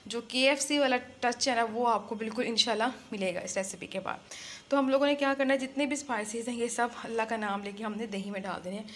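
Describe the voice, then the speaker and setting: A fairly high-voiced woman talks viewers through a recipe in a relaxed, repetitive way, blessing each step as she cooks. She is recorded on a phone in a home kitchen. A faint hum of room noise sits behind her voice. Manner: chatty, repeats words, Islamic blessings before each step. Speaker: cooking channel host. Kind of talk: casual cooking tutorial narration.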